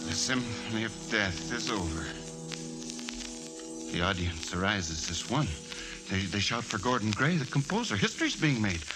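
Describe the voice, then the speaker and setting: A low-voiced, grandiose, unstable composer. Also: male voice, sing-song, low-quality recording. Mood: rapt, grandiose. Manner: theatrical, rhapsodic, dramatic pauses. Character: grandiose, unstable male composer, feverish and doomed. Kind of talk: 1940s radio drama acting. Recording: old 1940s radio recording, music behind.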